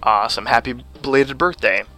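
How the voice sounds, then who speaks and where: A male show host with a bright, forceful voice asks his guest questions with easy enthusiasm. His delivery is upbeat and polished, and faint music plays behind him. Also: medium pitch, animated. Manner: enthusiastic, quick to say "fantastic" and "awesome". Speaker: interview host.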